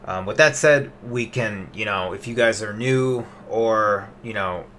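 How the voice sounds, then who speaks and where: A man's voice: on the low side, measured pace, bright, forceful tone, slight background noise. A man in his late twenties giving a loose, rambling investing update to his webcam, with plenty of fillers and restarts. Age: 20s. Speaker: investing vlogger.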